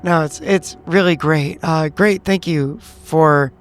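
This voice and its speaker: A man's voice: on the high side, slow pace. A man speaking casually in character as a player in a tabletop roleplaying game.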